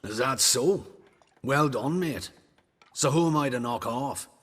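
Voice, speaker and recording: An actor voicing a rough, chummy gang enforcer in a video game, husky and blunt. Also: male voice, mid-range voice, measured pace, fairly bright tone. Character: rough, matey male hired muscle with a gang. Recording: long hall-like reverb.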